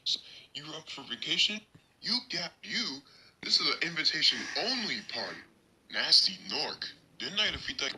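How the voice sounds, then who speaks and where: An actor voicing a cocky, upbeat young male video game hero, brash and energetic. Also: a little high, moderate pace, a little hiss or hum, heavily acted, poor audio.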